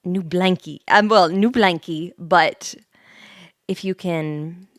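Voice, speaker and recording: A woman chatting softly on an ASMR livestream, hushed and often slipping into whispers, halting and repeating her phrases, close to the microphone. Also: on the low side, dry recording. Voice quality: soft, breathy whisper mixed with hushed talk. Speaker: livestream host, VTuber. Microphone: close studio microphone, mouth sounds audible.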